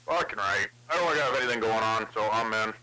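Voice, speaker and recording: A man's voice: on the low side, fast talker. A man in a casual CB radio conversation, rambling along and swearing heavily. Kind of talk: casual chat over CB radio. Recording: crackly CB radio with clicks.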